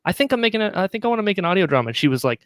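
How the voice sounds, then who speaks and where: A man speaks as a podcast guest in a fairly high, animated voice. He is jokey and self-deprecating, chatting casually on a very dry recording. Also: racing, dry recording. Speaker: podcaster and audio drama writer, guest. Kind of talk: casual chat.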